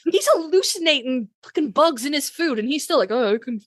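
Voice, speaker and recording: A woman's voice: high-pitched, lively intonation, fairly quick, dry recording, clean studio sound. A woman co-hosting a podcast in casual fan chat, speaking emphatically with plenty of swearing and teasing. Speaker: podcast co-host.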